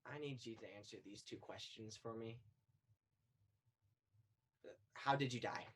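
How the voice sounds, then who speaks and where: A woman's voice: rumbling low, measured pace, breathy edge, full character voice. A young woman on stage playing an earnest, insistent guide to the afterlife, probing and solemn, in a student one-act play. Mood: grave. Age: young adult.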